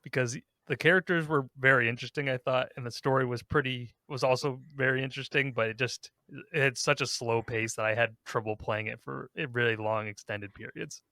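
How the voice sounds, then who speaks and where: Bright-voiced man hosting a podcast. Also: mid-range voice, fairly even intonation, brisk, no echo. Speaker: podcast host. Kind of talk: casual ranking discussion.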